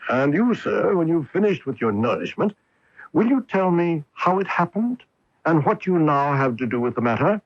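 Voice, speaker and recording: An actor playing a commanding, coolly logical English detective in a 1980s radio drama. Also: male voice, a little high, very animated, normal speed, dry recording. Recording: dull vintage radio broadcast.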